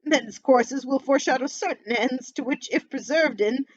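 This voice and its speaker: A woman's voice: high voice, moderate pace, voice pushed up. A woman voicing a frightened old male miser who pleads and cries out shrilly, in dull lo-fi audio. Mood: pleading.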